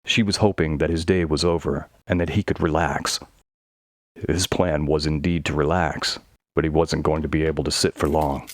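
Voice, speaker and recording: A man's voice: a bass voice, steady tone, normal speed, dry recording. A man reading scripted narration for a horror audio story.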